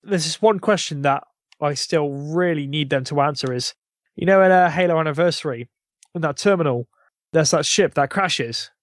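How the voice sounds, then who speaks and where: British male podcast co-host, informal chat, fairly high-pitched, no room echo. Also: normal speed, clean studio sound. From United Kingdom. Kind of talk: casual chat.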